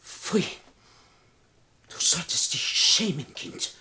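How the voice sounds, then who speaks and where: A woman's voice: takes it slowly, bright, forceful tone, a little hiss or hum. An actress in a German radio play playing a proud, sardonic older woman who faces down robbers. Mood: scornful. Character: defiant, dying old lady, unafraid of her robbers.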